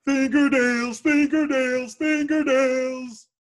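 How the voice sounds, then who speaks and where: A man's voice: very high voice, steady tone. A man voicing a dim, childish, carefree starfish buddy in a cartoon table reading, simple-minded and teasing. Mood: taunting. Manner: slow, simple-minded, taunting.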